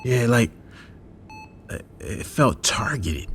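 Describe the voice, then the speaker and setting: A man playing a kind, concerned hospital worker in an audio drama, speaking gently and hesitantly with a creaky voice. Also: medium pitch, big pitch swings, takes it slowly, exaggerated voice. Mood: gentle, earnest. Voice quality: gravelly, creaky. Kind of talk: naturalistic audio drama acting.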